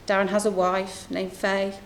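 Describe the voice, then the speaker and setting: A woman's voice: medium pitch, completely flat delivery, measured pace, a little hiss or hum, low-quality recording. A middle-aged woman, a bereaved mother, reading a prepared personal statement at a media briefing. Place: room at a press briefing.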